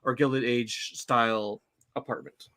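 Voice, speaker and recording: A man co-hosting a podcast, talking casually about a TV series with halting restarts. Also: mid-range voice, steady tone, sharp tone. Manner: halting, many restarts and fillers. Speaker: podcast co-host. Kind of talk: casual fan discussion.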